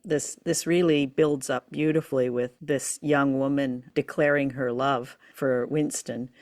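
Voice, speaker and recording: A woman's voice: a bass voice, steady tone, unhurried, darkish tone. A woman co-hosting a casual discussion show, speaking in a conversational, playful way. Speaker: co-host. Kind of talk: casual discussion of an old radio drama.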